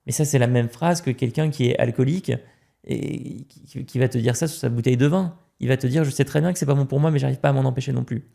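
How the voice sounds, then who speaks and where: A man's voice: medium pitch, fast, gravelly, warm tone. A man from France, a podcast guest, talking emphatically in casual conversation and repeating words as he starts a point.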